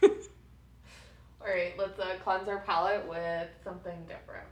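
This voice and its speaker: A woman's voice: fairly low pitch, flat delivery, a little mellow, a little hiss or hum. A woman co-hosting a podcast, chatting casually and giggling as she tastes snacks with a friend. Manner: chatty, giggly, quick asides. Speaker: podcast co-host. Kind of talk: casual snack-tasting chat. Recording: roomy echo.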